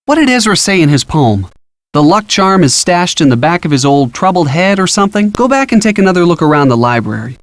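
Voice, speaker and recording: Young American man, higher-pitched, animated, helpful college boyfriend, playful game hint dialogue. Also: a little fast, no echo. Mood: helpful, casual. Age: young adult. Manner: casual, encouraging, playful. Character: helpful upbeat college-age boyfriend giving detective hints. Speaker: voice actor in a detective adventure game.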